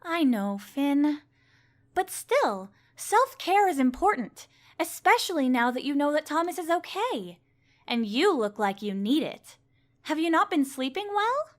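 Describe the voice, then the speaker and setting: A woman playing a caring but bossy, teasing young woman in an audio drama, chiding and expressive. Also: high-pitched, a little slow. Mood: concerned.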